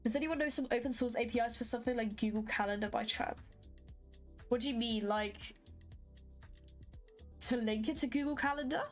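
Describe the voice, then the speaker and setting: A woman's voice: a little high, fairly quick, pauses often, faint music behind. A woman whose first language is not English, casually chatting with viewers while live coding and thinking aloud with many fillers. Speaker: livestreamer coding a graphics engine.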